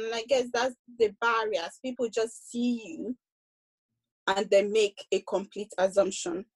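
A young woman speaking on a discussion panel, reflective and hesitant, with frequent pauses and restarts. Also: mid-range voice, a little mellow, breathy edge, rough recording. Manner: hesitant, many ums and restarts.

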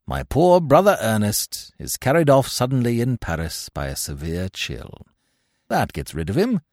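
A man voicing a stiff, earnest young gentleman, pompous and easily irritated, in a storybook reading. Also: medium pitch, wildly swooping pitch, moderate pace, no echo, clean studio sound. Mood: brisk, self-satisfied.

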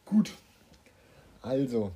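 A man's voice: medium pitch, very animated, extremely slow, dark tone, slightly rough. A man bantering casually and teasingly as he co-hosts a guessing game. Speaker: co-host playing a guessing game.

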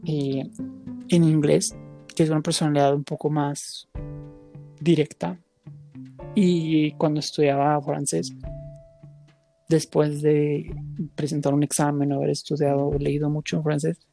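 Low-pitched, mellow woman musing aloud. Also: flat delivery, measured pace, vocal fry, long pauses, soft background music. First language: Spanish. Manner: musing, hesitant, searching for words. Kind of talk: casual reflective conversation.

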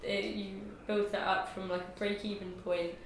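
A woman's voice: mid-range voice, little pitch movement, normal speed. A woman hosting a casual podcast interview, bubbly and chatty, laughing often. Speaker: podcast host. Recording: very echoey room.

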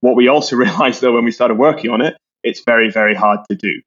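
A Scottish man, a brand co-founder, talking quickly in a casual business interview and often repeating words as he restarts. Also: mid-range voice, a bit bright, dull audio. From Scotland.

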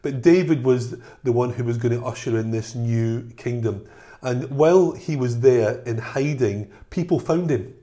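Middle-aged man with a soft Scottish accent. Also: mid-range voice, normal speed. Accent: softened Scottish. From Scotland. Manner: earnest, storytelling. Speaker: podcast co-host, church leader. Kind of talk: casual chat.

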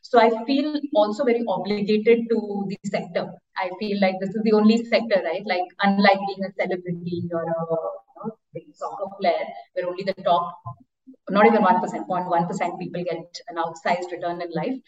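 A woman's voice: medium pitch, steady tone, moderate pace, a little mellow. Echoey, distant Indian-accented woman. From eastern India. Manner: rambling, peppered with "like" and "you know". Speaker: interview guest, education startup founder. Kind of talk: conversational personal storytelling. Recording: echoey, distant, muffled call-like audio.

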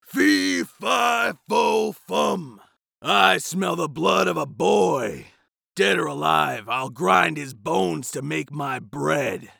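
A bloodthirsty storybook giant , played by a man reading aloud, roars his threats at full volume and draws every word out slowly. The voice has a gravelly edge. The sound is dry and close, with no room around it. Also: a little high, sing-song, bright, forceful tone, no room sound, shouting, voice pushed up, heavily acted. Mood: bellowing threat. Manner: bellowing, slow and drawn out. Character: huge fearsome male giant, bloodthirsty.

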